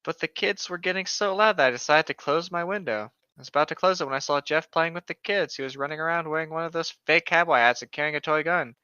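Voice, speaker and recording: A bright, forceful voice of middling pitch reads a story aloud and pokes fun at it with sarcastic asides. The delivery is casual and joking, in a dry, slightly dull recording. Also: fast talker, few pauses, smooth, no echo. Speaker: co-host of a comedic story reading. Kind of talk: mocking read-aloud with casual banter.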